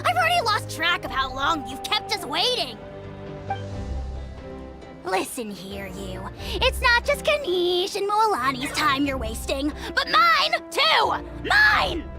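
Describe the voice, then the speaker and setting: Bratty little creature, very high squeaky voice, wild pitch swings, whiny and rude, music underneath. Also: female voice, wildly swooping pitch, slow, heavily acted. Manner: snippy, whiny, jumps between growls and squeals. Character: small rude creature, bossy and petulant. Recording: game audio with music.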